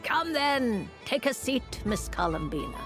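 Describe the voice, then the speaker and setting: Slow, theatrical mage. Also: female voice, on the high side, sing-song. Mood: courteous, theatrical. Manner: formal, eloquent, measured. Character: refined, theatrical woman mage and fortune-teller, courteous. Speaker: fortune-telling mage character. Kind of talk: video game character acting. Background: music.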